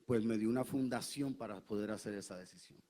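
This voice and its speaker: A man, a pastor, tells his faith testimony in a church interview in an earnest, halting way, pausing on many fillers and also interpreting his wife's words. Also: on the low side, dark tone. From Puerto Rico. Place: church sanctuary.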